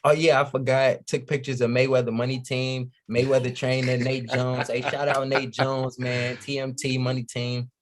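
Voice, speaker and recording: A man's voice: mid-range voice, little pitch movement, moderate pace, hardly any pauses. A man from Chicago with an American accent chatting casually and slangily as a podcast guest. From Chicago, USA. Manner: slangy, keeps giving shout-outs.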